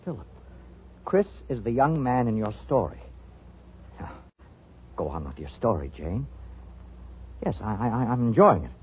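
A man's voice: medium pitch, very animated, normal speed, pauses often, husky. An actor playing a nervous man who carefully humors a dangerous woman in a 1950s radio thriller, hesitant and uneasy. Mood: nervous, placating. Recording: muffled old radio broadcast.

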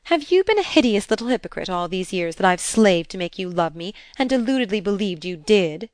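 A woman reading aloud and voicing a wrathful, scornful stepmother from period fiction in a loud, vehement manner. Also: mid-range voice, normal speed, no room sound, close to an everyday voice. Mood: indignant.

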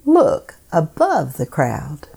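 A woman hosting a devotional program, reading scripted meditations in a solemn, measured way. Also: low voice, wildly swooping pitch, creaky, mellow, slightly rough.

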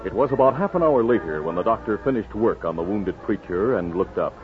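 A man's voice: on the low side, lively intonation, brisk, over loud music. A man narrating and announcing in a dramatic, polished, emphatic 1950s radio style. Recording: tinny, noisy 1950s radio recording.

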